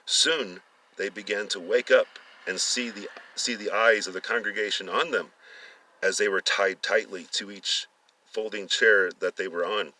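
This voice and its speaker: A man reading a story aloud, halting at times as he stumbles and repeats words. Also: fairly low pitch, fairly even intonation, pushed and bright, distant mic, rough recording. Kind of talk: dramatic story reading with acted dialogue.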